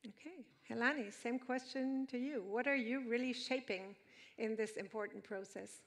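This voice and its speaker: A woman moderating a conference panel, asking questions briskly into a handheld mic. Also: on the high side.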